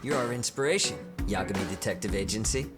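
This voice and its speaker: A man's voice: lowish voice, lively intonation, fast, close to an everyday voice. An actor playing an easygoing, persuasive male detective in a video game, talking casually. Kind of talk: video game voice acting, conversational. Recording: loud music under the dialogue.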